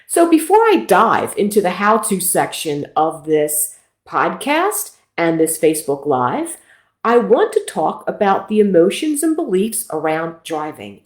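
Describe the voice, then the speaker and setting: A woman's voice: medium pitch, very animated, a little slow, echoey room. A middle-aged woman from Philadelphia, a nurse practitioner hosting a casual livestream talk in a chatty, self-deprecating way.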